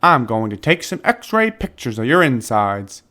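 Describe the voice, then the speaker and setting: A man voicing a reassuring male doctor in a children's storybook, brisk and matter-of-fact as he explains. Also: medium pitch, very animated, bright, forceful tone. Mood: businesslike, reassuring.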